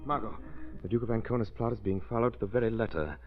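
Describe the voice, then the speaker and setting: A low-voiced male sleuth who talks very quickly, played by a man. He is decisive, clipped and commanding, in 1930s radio acting style. The recording is dull and lo-fi. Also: music in the background, lightly acted. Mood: concerned. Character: urgent, decisive male amateur detective hero.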